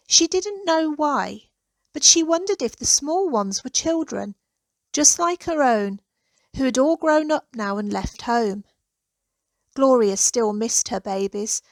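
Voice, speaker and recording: Female children's storybook narrator, higher register, expressive and deliberate delivery, dry close sound. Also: no echo. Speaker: author reading own children's story.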